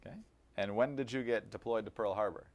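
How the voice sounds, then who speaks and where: A man hosting an interview show, reading a scripted introduction and asking polite, measured questions. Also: lowish voice, a little mellow.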